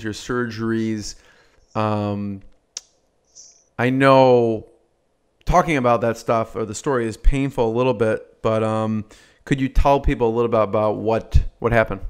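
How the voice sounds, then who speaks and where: A man's voice: medium pitch, smooth. A man hosting an interview, asking questions and encouraging his guest with short responses. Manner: attentive, encouraging, brief prompts. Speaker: podcast host. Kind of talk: interview conversation.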